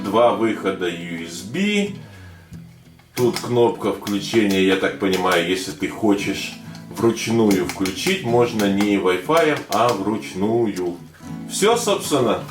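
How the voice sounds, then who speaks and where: Low-voiced male tech host, slightly hoarse, casual and hesitant, faint music under him. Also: animated, slow. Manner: chatty, hesitant with many fillers. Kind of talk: casual unboxing narration.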